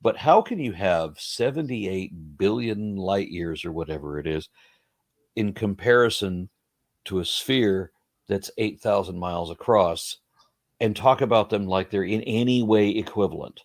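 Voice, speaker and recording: Male guest, low voice, dry sound, wry debater. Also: lively intonation, measured pace, no echo. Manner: wry, argumentative, talks in long explanatory runs. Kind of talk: casual video interview.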